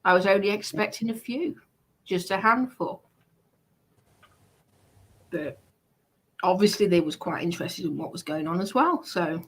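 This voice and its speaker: A woman's voice: lowish voice, measured pace, pauses often, poor audio. A woman hosting a livestream and chatting casually. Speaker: livestream host. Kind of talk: casual chat.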